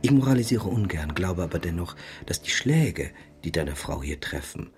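An actor playing a sly talking fish in a radio drama, moralising in a measured, insinuating, mock-reasonable way. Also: male voice, low-pitched, very animated, darkish tone. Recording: distant voice over faint music.

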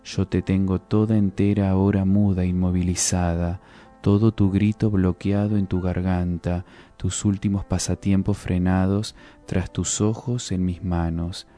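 A man giving a solemn, deliberate dramatic reading of poetic prose, as a guest reader. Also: sits low, flat delivery, warm tone. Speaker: guest reader of literary texts. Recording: music under the voice. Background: music.

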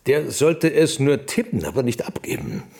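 An actor playing a stubborn, suspicious ageing professor in a radio play, curt and grumbling, with a creaky voice. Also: male voice, mid-range voice, sing-song, moderate pace, a little mellow. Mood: suspicious, bitter.

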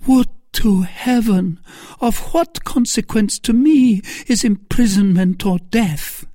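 A narrator voicing a melodramatic woman schemer in an audiobook novel, feigning pious innocence with breathy, imploring pleas. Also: medium pitch, takes it slowly, warm tone, no echo, clean studio sound, voice pushed up. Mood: anguished pleading.

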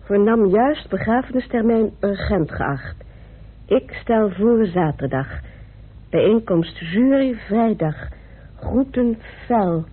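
A woman playing a fond, gently reproachful wife in an old radio play. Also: medium pitch, lively intonation, slow, breathy edge. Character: fond, fretting wife of a politician. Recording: scratchy lo-fi old radio recording.

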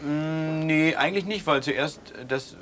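A man's voice: on the high side, unhurried, fairly bright tone, a little hiss or hum. An actor playing a defensive, evasive man who stammers and restarts, in a naturalistic radio drama. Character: defensive, evasive man questioned about a business deal.

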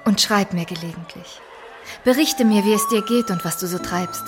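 A wistful medieval empress in a radio play. Her speech is tender and swings widely in pitch, over loud music. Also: huge pitch swings, moderate pace. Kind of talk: radio drama acting.

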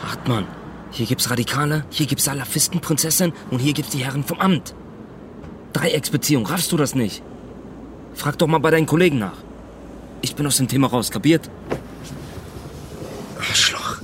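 A man's voice: mid-range voice, moderate pace, pauses often, close to an everyday voice. A man playing a nervous, angry street informant in a radio crime drama, slangy, agitated and hissing. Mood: irritated, defiant. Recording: noisy street ambience with car sounds.

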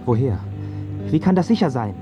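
A man's voice: mid-range voice, very animated, moderate pace, lightly acted. An actor playing a brooding, lovesick young man in a radio drama, earnest and declamatory. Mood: anxious, confused. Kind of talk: German radio drama acting. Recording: dull audio over music.

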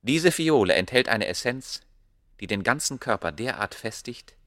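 An actor playing a proud, obsessive ancient scholar, speaking gravely and declaiming in a German radio play. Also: male voice, medium pitch, sing-song, fairly bright tone, dry recording, studio quality. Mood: grave, intense. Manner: grave, declamatory, deliberate phrasing.

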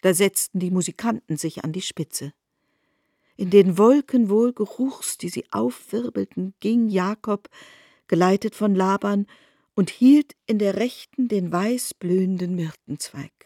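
A woman narrating a literary radio play in an even, solemn storytelling manner. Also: mid-range voice, unhurried, breathy edge, no room sound, clean studio sound.

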